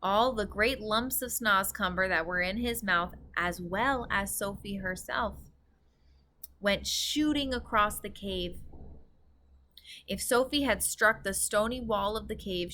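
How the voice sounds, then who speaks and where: A woman reading a children's story aloud as an expressive narrator. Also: mid-range voice, a little slow, slight background noise.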